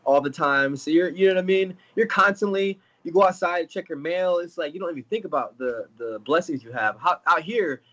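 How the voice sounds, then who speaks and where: Fighter guest on a video call, a bit stuffy, higher voice, animated joker. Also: male voice, a little fast, hardly any pauses. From Florida. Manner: animated, joking, laughing storyteller. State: stuffy with a cold. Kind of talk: casual interview over video call.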